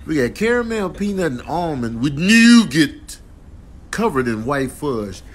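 A man's voice: medium pitch, slight background noise. A man giving slangy, repetitive comic commentary over short clips. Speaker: comedian doing voiceovers.

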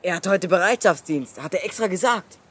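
A woman playing a resourceful, confident young boy who leads a gang in a children's radio play, speaking briskly. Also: lowish voice, lively intonation, husky. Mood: decisive. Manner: brisk, commanding, decisive.

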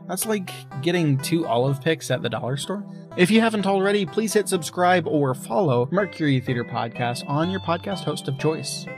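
Higher-voiced man reading podcast credits. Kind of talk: scripted outro announcement. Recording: plucked guitar music clearly behind.

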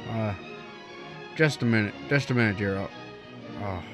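An actor playing an earnest, flustered, stubborn young small-town man in a radio drama, with a halting, stammering delivery. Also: lowish voice, music in the background, natural voice. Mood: anxious, placating. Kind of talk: old-fashioned radio drama acting.

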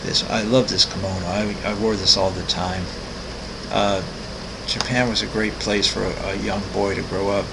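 A man in his sixties, an artist walking through his website, talking casually with many ums, pauses and restarts. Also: fairly low pitch, flat delivery, creaky, background noise. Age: 60s. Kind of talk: casual screen-recorded walkthrough.

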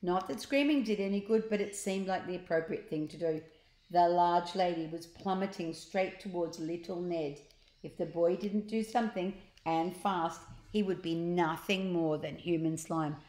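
A woman in her sixties reading a children's story aloud expressively and deliberately, with asides to young listeners. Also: on the low side, darkish tone. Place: large open living room, indoors. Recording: roomy, echoing living room.

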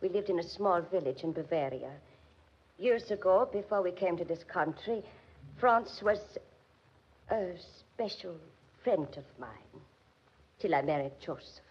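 An actress playing an anxious, gentle German immigrant widow in a 1940s radio drama, speaking with a German accent in halting, pleading, formal phrases. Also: female voice, on the low side, lively intonation, slightly rough. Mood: wistful. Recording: muffled vintage 1940s radio recording.

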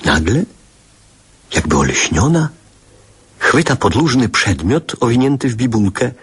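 A man's voice: medium pitch, big pitch swings, a little slow, breathy edge. A man narrating childhood memories in a scripted, literary radio play style.

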